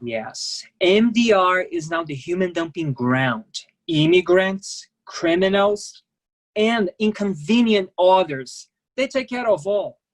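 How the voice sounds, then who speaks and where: An actor in a staged play reading, playing a resigned, candid immigrant massage therapist who speaks in clipped, non-native phrasing, matter-of-factly. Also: male voice, high voice, animated, takes it slowly. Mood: bitter, resigned.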